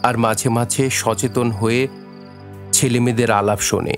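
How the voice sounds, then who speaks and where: Male narrator for a radio play: medium pitch, measured literary storytelling, faint music underneath. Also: fairly even intonation. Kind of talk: scripted story narration for audio drama.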